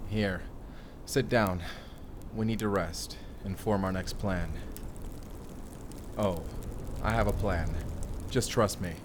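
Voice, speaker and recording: A man playing a determined, earnest vampire slayer in a cinematic audio roleplay, explaining things in a measured way. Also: deep voice, fairly even intonation. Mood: earnest, resolute. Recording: clearly noisy ambience behind the voice.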